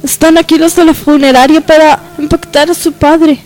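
Very high-voiced eager young woman, acted. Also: little pitch movement, a little fast, a little mellow, natural voice, rough recording. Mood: polite, eager. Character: young female graduate seeking a job, eager and naive. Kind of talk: radio soap opera acting.

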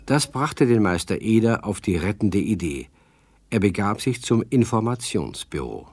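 A man's voice: fairly low pitch, lively intonation, moderate pace, darkish tone, slightly rough. A man narrating a children's radio story in a scripted storytelling style.

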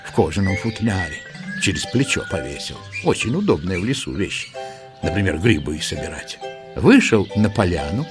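A man narrating a children's audio play in an expressive storytelling style. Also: fairly low pitch. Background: music.

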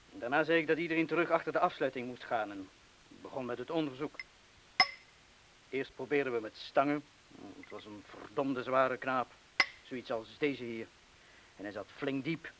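A man's voice: mid-range voice, close to an everyday voice. An actor playing a self-doubting wartime bomb disposal man, confessing his cowardice in a colloquial, bitterly self-deprecating way, as in a radio play. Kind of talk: Dutch radio play acting. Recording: hissy old radio play recording.

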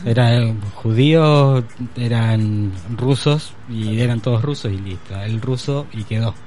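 A man's voice: medium pitch, measured pace, mellow, slight background noise. A man with an Argentine accent, telling wry anecdotes in a casual conversation. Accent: Argentine Spanish.

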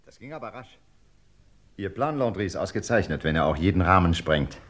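A man's voice: deep voice, animated, a little fast. A man playing an easygoing, wry police commissioner in an old German radio crime drama, talking in a colloquial way. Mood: pleased, musing.

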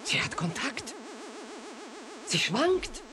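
An actress playing a composed, observant researcher in a German radio drama, phrasing expressively and deliberately. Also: female voice, high voice, very animated, a crowd in the background, full character voice. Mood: alarmed.

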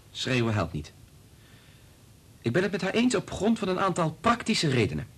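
An actor playing a principled, earnest doctor in a radio play, speaking firmly and deliberately. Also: male voice, fairly high pitch, huge pitch swings, a bit bright, slightly rough, full character voice. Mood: firm, indignant. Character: principled, earnest male doctor and family friend. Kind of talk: Dutch radio play acting.

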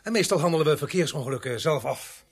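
An actor playing a gruff, sarcastic local police sergeant in a radio drama, with a dry, terse manner. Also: male voice, on the high side, expressive, fast talker. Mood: curt, sarcastic. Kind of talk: Dutch radio drama acting.

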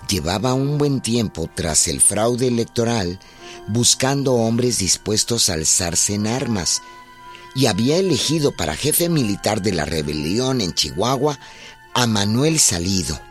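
A man narrates a historical radio series from a script. His lowish voice is unhurried yet richly varied in pitch, with faint music underneath. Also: very animated. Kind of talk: scripted documentary-drama narration.

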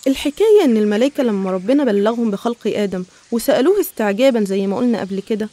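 A woman's voice: on the high side, animated, very fast, dark tone. A woman with an Egyptian Arabic accent narrating religious stories in a conversational, explanatory way. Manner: explanatory, storytelling.

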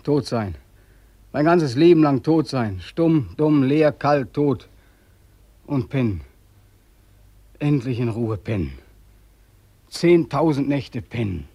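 A man's voice: medium pitch, animated, slow, pauses often, mellow, breathy edge, no room sound, full character voice. A young soldier home from war in a radio drama, worn out and hopeless. His mid-range voice is dry and close, with an exhausted, bitter, pleading manner. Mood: weary, despairing. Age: young adult. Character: despairing, weary 25-year-old war veteran longing for death. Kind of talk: radio play acting.

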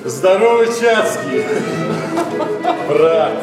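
An idle retired officer in a radio verse drama. He speaks slowly and languidly in a fairly high male voice, in an echoey hall over loud music. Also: animated, recorded from a distance, full character voice. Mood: resigned friendliness. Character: idle married retired officer, resigned and good-natured. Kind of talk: theatrical radio play acting in verse.

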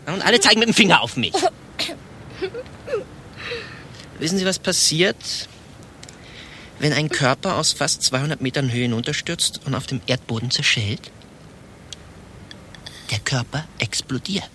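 An actor playing a pompous, condescending administration chief in a satirical radio play, biting and exasperated. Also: male voice, on the high side, expressive, moderate pace, pauses often, sharp tone, a little hiss or hum.

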